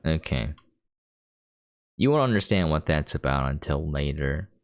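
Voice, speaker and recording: A man with a low-pitched voice talks through a video game as a streamer would. He is informal and explanatory, with faint music playing behind him. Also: expressive, unhurried. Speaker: gameplay streamer, commentator. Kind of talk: casual game commentary.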